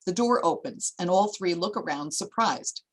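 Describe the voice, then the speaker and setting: Lower-voiced woman reading stage directions. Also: animated, moderate pace. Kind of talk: scripted screenplay narration.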